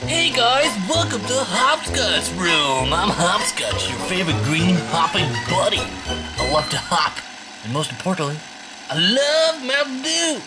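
A man voicing a cheery, hyper male green bunny toy in a bouncy, drawn-out sing-song way. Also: high voice, very animated, full character voice, poor audio. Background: music.

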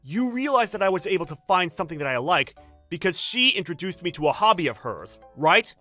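A man plays a hot-tempered young husband in a manga dub. His voice is bright and tense, with lively swings of pitch, earnest and forceful over background music. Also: a little fast, exaggerated voice. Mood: stern. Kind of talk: dramatic manga dub acting.

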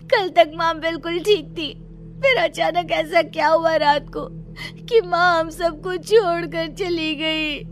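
A woman voicing an anxious young sister of an Indian family in an animated horror story, with music underneath. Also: extremely high pitch, slow pace. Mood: grieving.

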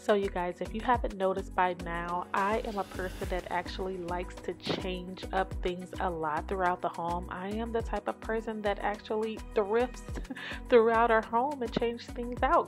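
A woman hosting a home cleaning vlog, chatting in a relaxed voice-over and talking straight to her viewers with light laughs. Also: mid-range voice. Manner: talks straight to viewers, laughs lightly, asides with "you guys".

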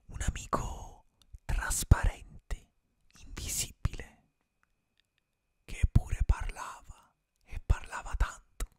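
A young man whispering a bedtime fairy tale for ASMR, close to a studio microphone in a small room. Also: no echo. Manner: slow unvoiced whisper, drawn-out pauses. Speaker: ASMR streamer. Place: small dim room with colored string lights. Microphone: close studio mic, earphones.

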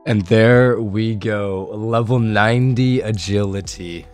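A young man with a raspy, hoarse voice from being ill, chatting casually over his gameplay and swearing now and then. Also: fairly low pitch, slow, soft background music. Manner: laid-back, swears casually. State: hoarse from illness. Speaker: gaming video creator.

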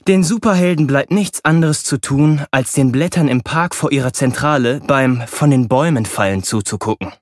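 A man's voice: mid-range voice, normal speed, hardly any pauses, no room sound, clean studio sound. A man reading a children's audiobook expressively, telling it wryly from a boy's point of view. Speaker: audiobook narrator. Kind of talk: expressive children's audiobook narration as a boy narrator.

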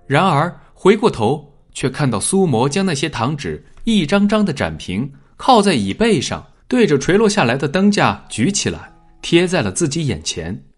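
A man narrating a scripted dramatic story in an expressive storytelling manner. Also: on the high side, normal speed, hardly any pauses, faint music behind. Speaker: audiobook narrator.

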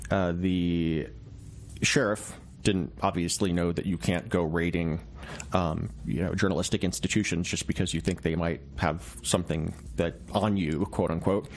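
Lowish guy on a radio interview, explains stuff step by step, careful and chatty. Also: steady tone. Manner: measured, explanatory, hedging. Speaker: journalist guest.

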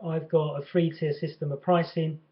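Higher-pitched man on a dull webcam recording. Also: level tone, normal speed. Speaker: personal trainer. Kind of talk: casual opinion vlog talk to camera. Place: bright furnished living room at home. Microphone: webcam mic at about arm's length.

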